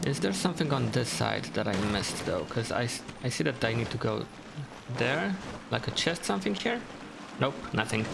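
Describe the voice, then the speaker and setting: A man with a gravelly, creaky voice at a middling pitch commentates casually on a game. He is easygoing and talks himself through it, with clear noise and game ambience behind him. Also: big pitch swings, moderate pace. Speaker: gaming streamer. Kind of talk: casual let's play commentary.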